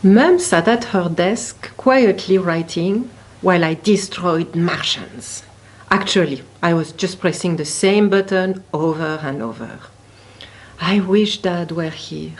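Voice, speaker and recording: A woman reading a children's story aloud expressively, with deliberate, dramatic emphasis on key words. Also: on the low side. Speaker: picture book reader at a library.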